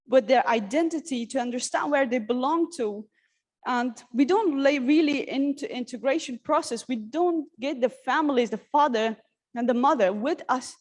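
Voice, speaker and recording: A woman from Syria giving an earnest, halting personal talk at a workshop, with many restarts and fillers. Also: high-pitched, darkish tone. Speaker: conference speaker. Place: conference hall.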